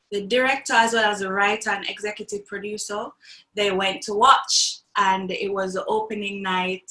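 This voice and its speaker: A woman's voice: mid-range voice, bright, forceful tone. A young adult woman with a Jamaican accent, talking animatedly as a guest in an interview and laughing often. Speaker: actress, guest. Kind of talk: interview conversation. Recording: echoey room.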